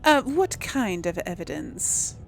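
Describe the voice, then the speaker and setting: A woman's voice: fairly low pitch, very animated, slow, vocal fry, slight background noise. A woman doing casual, musing game commentary, thinking aloud as she plays and role-plays. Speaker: gamer host of a role-play let's play.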